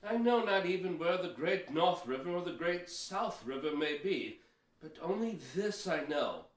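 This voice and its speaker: Man as higher-voiced, cautious Pilgrim elder. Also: sing-song, normal speed. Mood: doubtful, wary. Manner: hesitant, archaic formal phrasing. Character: cautious, pious, loyal English Pilgrim church elder, male. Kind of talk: amateur historical audio play acting. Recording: roomy echo, distant from mic.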